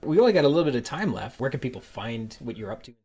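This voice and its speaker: A man with a husky voice hosts a podcast in a casual, chatty style. His phrasing is halting and conversational, and his pitch sits in an ordinary male range. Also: very animated, darkish tone. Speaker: podcast host. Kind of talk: casual interview chat.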